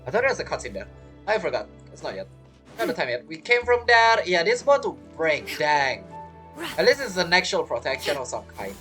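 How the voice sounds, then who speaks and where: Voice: mid-range voice, very animated, fairly quick, pushed and bright. A gamer giving casual gameplay commentary with informal, muttered asides. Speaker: gaming video creator. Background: game music.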